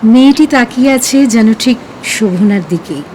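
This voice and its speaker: A woman narrating a scripted audio story in an expressive, emotive, dramatic way. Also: a little high, normal speed, a little hiss or hum, poor audio.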